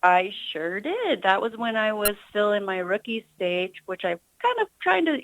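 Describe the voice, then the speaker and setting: A woman's voice: medium pitch, sing-song, moderate pace, muffled recording, slight background noise. A middle-aged American woman giving earnest, enthusiastic answers as an author being interviewed on radio.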